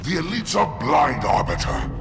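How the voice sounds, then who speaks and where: A man's voice: on the high side, big pitch swings, normal speed, gravelly. A man voicing a proud, zealous alien brute chieftain in a video game, declaiming with menace. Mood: menacing. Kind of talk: video game cutscene acting. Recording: distant voice, loud music behind.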